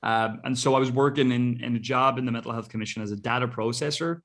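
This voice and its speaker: A man's voice: mid-range voice, steady tone, fast talker, a bit bright. A man in his thirties with an Irish accent, chatting as an interview guest in an earnest, effusive way. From Ireland. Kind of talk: casual conversation.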